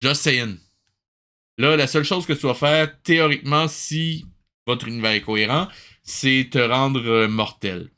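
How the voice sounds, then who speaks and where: A man's voice: mid-range voice, steady tone, measured pace, pushed and bright, smooth. A man with a Québécois accent doing sarcastic, exasperated comedic commentary as a review host.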